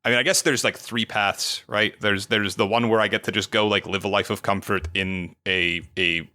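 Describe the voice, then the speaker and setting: A man's voice: lowish voice, steady tone, moderate pace, sharp tone, no echo, clean studio sound. A man playing as a guest in a tabletop game, chatting loosely and casually. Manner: loose and chatty, with frequent fillers. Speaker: guest player.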